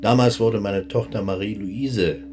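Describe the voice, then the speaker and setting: An actor playing a dead German army general in a radio drama, curt and aloof, with clipped formal speech. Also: male voice, on the low side, fairly even intonation, a little slow, music in the background, natural voice. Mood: guarded, dismissive.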